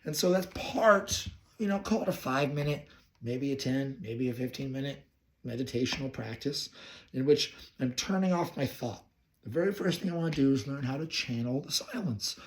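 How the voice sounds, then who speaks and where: A young man with a hoarse voice from laryngitis, talking casually and reflectively to the camera, rambling and correcting himself as he goes. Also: mid-range voice, lively intonation, moderate pace, a little hiss or hum. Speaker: counseling student. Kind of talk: casual personal talk to camera.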